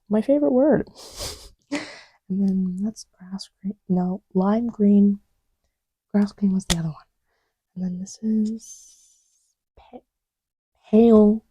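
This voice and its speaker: Soft woman kinda half whispering to herself, slow n mellow, casual asmr ramble. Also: medium pitch, low-quality recording. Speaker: audio creator. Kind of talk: casual ASMR-style rambling while testing markers.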